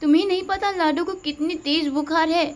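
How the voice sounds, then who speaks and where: Woman as a fed-up village wife, high voice, scolding, reproachful, storybook. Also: steady tone, fairly quick.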